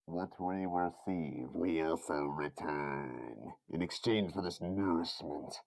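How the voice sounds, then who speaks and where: A man voicing an ancient, hungry tentacled parasite in a video game dub, speaking slowly and formally in an ominous way. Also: low voice, vocal fry, exaggerated voice. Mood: ominous, solemn. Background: loud music.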